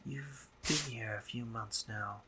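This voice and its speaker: A man playing a doting, teasing gentlemanly spider-monster painter in a romantic roleplay audio drama, with genteel phrasing, hesitant pauses and drops into whispers. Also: mid-range voice, noisy background, natural voice. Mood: teasing, affectionate.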